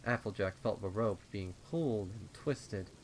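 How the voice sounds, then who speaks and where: A man's voice: fairly low pitch, unhurried, a little hiss or hum. A man narrating a scripted horror story in a dramatic reading style. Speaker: fan fiction narrator. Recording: rain and storm sounds behind. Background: rain sound effects.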